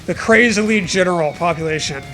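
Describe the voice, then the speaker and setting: A man's voice: high voice, brisk, fairly bright tone, faint music behind. A man with a mocking, profane manner, reading fan fiction aloud for comedy and bantering casually with a co-host.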